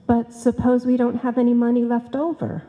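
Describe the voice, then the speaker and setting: A woman's voice: fairly high pitch, monotone, normal speed, warm tone, muffled recording, lightly acted. An actress playing a sensible, hesitant female secretary who questions everything practical in a live old-time radio comedy. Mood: doubtful, practical. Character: sensible, doubtful female office secretary and reluctant partner. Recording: live hall recording, long reverb, music.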